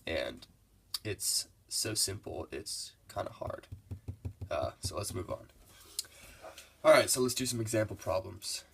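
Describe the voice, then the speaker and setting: A man's voice: fairly low pitch, vocal fry, a bit bright, husky. Congested young man explaining calculus. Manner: laid-back, loose and casual, with many fillers. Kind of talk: casual math lesson voice-over.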